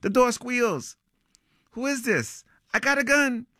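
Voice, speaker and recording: A man's voice: lowish voice, big pitch swings, brisk, a bit bright, no room sound, studio quality, voice pushed up. A man reading a novel aloud, voicing a cocky young American jazz musician with slangy, playful, teasing talk. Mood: alarmed, shouting. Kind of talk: novel reading, acted dialogue.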